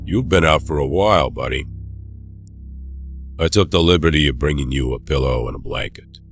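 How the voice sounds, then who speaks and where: An actor playing a blunt, controlling male doctor in an audio drama, unhurried, condescending and firm. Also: rumbling low, gravelly, faint music behind. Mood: wry, calm.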